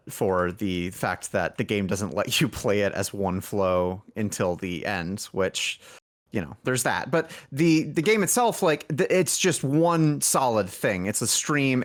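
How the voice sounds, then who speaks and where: A man speaking as a game developer guest in a casual interview, rambling and often interrupting himself mid-sentence. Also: mid-range voice. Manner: rambling, self-interrupting, informal. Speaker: indie game developer and music lead, podcast guest.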